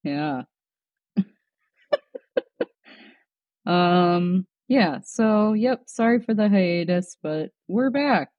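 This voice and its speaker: A woman's voice: lowish voice, animated, extremely slow, pauses often, a little mellow, no room sound, dull audio. A woman co-hosting a casual chat podcast, laid-back and drawling, asking her co-host questions.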